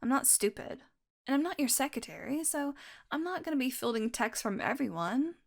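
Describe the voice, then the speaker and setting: A woman's voice: high voice, lively intonation, normal speed, gravelly, full character voice. A woman acting a playful, sardonic, curious young vampire in an intimate audio roleplay. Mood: earnest, casual. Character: teasing, curious young female vampire doing experiments.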